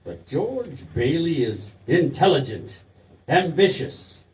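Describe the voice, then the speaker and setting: An actor in a 1940s-style radio play as a rich, condescending old banker, sly and smug in a deliberate delivery. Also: male voice, fairly high pitch, very animated. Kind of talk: 1940s radio drama acting. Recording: distant stage mic, faint noise.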